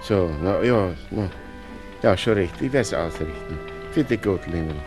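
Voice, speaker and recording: An actor playing a kindly, flustered older father with a Viennese accent, halting and full of little interjections, in a 1960s radio drama. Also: low-pitched, over music.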